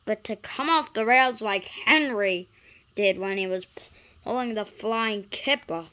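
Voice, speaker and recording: A woman voicing a pompous male steam engine in a children's storybook, grumbling and spluttering with drawn-out indignation. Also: medium pitch, sharp tone, clear, resonant tone. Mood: huffy.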